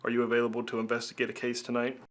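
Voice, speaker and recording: A man's voice: mid-range voice, monotone, very fast, lightly acted. A man playing an official, businesslike police commissioner in an amateur radio drama. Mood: formal, brisk. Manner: formal, businesslike.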